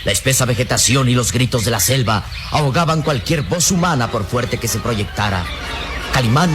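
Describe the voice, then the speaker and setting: An actor narrating an adventure radio serial in a solemn, dramatic, suspenseful scripted style. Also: male voice, mid-range voice, lively intonation, moderate pace, over music, natural voice.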